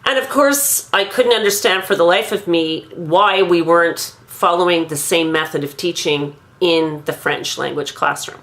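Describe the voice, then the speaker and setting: A woman in her fifties from Quebec, thoughtfully recounting her own school memories in a measured, pausing monologue. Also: on the low side, a bit bright. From Quebec, Canada. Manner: measured, thoughtful, with frequent pauses. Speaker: grandmother recounting her language learning. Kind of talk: reflective personal monologue.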